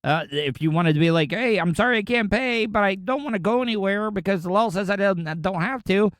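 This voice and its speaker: A man's voice: sits high, a little fast, fairly bright tone, dry recording, clean studio sound. A man, hosting a podcast and ranting sarcastically about the news in a rambling, indignant way. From Florida. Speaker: podcast host. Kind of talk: casual ranting commentary.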